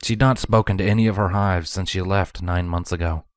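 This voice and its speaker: A man's voice: deep voice, steady tone, fairly quick, no room sound. A man reading scripted fantasy fiction aloud as a storybook narrator. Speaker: narrator, fan fiction reader.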